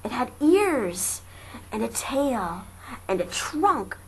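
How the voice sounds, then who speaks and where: A woman reading children's verse aloud as an amateur storyteller, dramatic and deliberate in her delivery. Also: a little high, big pitch swings, rough recording. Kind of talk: expressive children's verse narration. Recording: faint noise, amateur home recording. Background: faint ambient noise.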